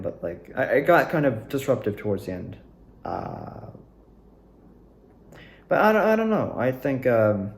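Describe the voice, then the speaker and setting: A man's voice: lowish voice, a little mellow. A young man reflecting casually and unscripted to his viewers, rambling hesitantly and often trailing off. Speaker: amateur actor and video maker. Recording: echoey room.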